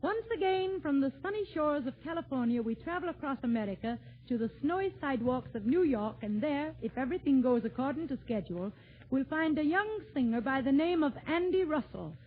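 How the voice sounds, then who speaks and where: A woman's voice: high voice, animated, brisk, slight background noise. A woman hosting a 1940s radio show with formal, scripted announcements. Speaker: show host. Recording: muffled old 1940s radio broadcast.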